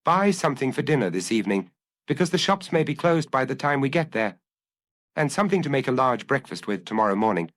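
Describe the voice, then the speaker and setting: Audiobook police chief, played by a man: middle-aged, calm, probing, laconic, flexible intonation. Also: mid-range voice, fast, no room sound, studio quality, voice pushed up, lightly acted. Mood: matter-of-fact. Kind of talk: acted audiobook dialogue.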